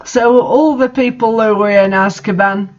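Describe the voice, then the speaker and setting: A woman's voice: mid-range voice, normal speed, darkish tone. A woman giving a dramatic, mocking amateur reading of fan fiction, with her own commentary. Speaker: reader. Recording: dull lo-fi sound.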